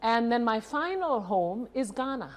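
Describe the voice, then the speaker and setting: A woman's voice: on the high side, sing-song, measured pace, crowd noise. A middle-aged woman from East Africa, a guest telling her life story conversationally and explaining as she goes, often checking in with short tags. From East Africa (Uganda and Kenya). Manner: explanatory, adds checking tags like "huh?" and "yeah?". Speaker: talk show guest. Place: TV studio.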